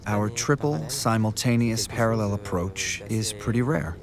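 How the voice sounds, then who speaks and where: Low-voiced man in documentary voice-over. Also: normal speed, crowd noise. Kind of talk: English voice-over of an interview. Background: music.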